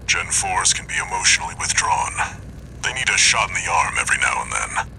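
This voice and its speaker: An actor playing a stern older mercenary handler in a video game, speaking in a terse, understated, gravelly murmur. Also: male voice, low voice, sing-song, fairly quick, noisy background, heavily acted. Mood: dry, guarded. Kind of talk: video game voice acting, mission radio. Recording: loud game music behind. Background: loud music.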